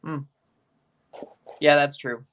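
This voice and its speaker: A man's voice: fairly high pitch, fairly even intonation, slow pace, fairly bright tone, clear, resonant tone, distant mic. A man with a Southern American accent chatting casually and rambling through a live match watchalong, with some mild swearing. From United States. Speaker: streamer.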